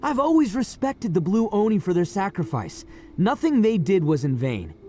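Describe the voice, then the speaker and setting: A boisterous young gang leader from a video game, cocky and swaggering. His voice sits high and swings widely as he talks. Faint game music runs underneath. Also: big pitch swings, moderate pace, a little mellow. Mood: earnest.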